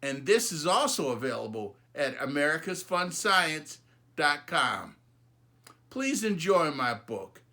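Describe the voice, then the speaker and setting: A man reading his own children's book aloud as an expressive, emphatic storyteller. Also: fairly high pitch, slow pace.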